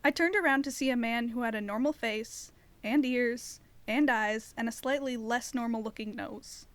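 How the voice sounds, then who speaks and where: A woman playing an earnest, curious, determined young woman in an audio drama, narrating and talking in a chatty, expressive way. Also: on the high side, moderate pace, close to an everyday voice. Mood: wry, curious.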